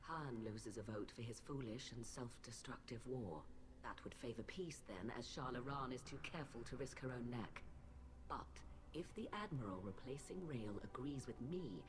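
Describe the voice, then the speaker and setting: A woman's voice: rumbling low, animated, normal speed, over music. A woman playing a cold, imperious alien admiral in a video game, speaking in a clipped, haughty, precise way. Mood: haughty, calculating. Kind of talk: video game dramatic dialogue. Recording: game dialogue over loud ship ambience.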